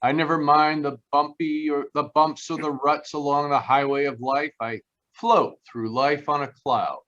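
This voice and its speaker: A man's voice: a little high, little pitch movement, normal speed, fairly bright tone, close to an everyday voice. A man playing a bashful, lovestruck fellow in a 1930s-style radio advert, earnest and folksy, over a lo-fi connection. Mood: gushing. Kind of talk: 1930s radio commercial acting. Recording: slightly dull, lo-fi connection.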